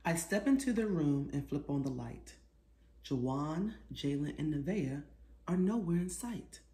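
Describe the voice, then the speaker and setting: A middle-aged woman reading a children's storybook aloud expressively, pausing to put questions to her young listeners. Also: low-pitched, expressive, warm tone. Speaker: children's author reading her own book. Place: small living room, seated on a sofa. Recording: roomy indoor echo.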